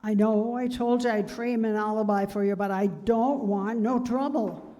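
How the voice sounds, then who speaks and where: A woman's voice: mid-range voice, fairly even intonation, moderate pace, dark tone, close to an everyday voice. A woman playing a worried, evasive pool hall owner with wary, slangy talk in a 1930s-style radio drama. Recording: reverberant hall stage recording.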